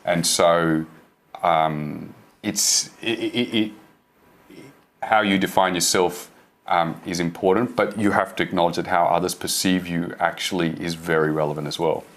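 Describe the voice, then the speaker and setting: A man's voice: low voice, steady tone, a little slow, a bit bright. A man from India speaking as a panelist in a panel discussion, earnest and thoughtful.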